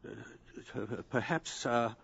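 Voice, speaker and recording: A man's voice: mid-range voice, unhurried, creaky, a bit bright. An actor playing an earnest, inquisitive scientist professor in a 1950s radio drama, thoughtful and hesitant in his phrasing. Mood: curious, uneasy. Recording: dull 1950s radio broadcast recording.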